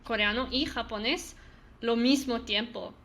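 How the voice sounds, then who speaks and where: A woman hosting a relaxed chat on a video call, with a bright, clear tone. She talks at an unhurried pace, pausing to search for words and laughing often. Her voice sits a little high. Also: a little hiss or hum. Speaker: host. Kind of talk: casual multilingual chat.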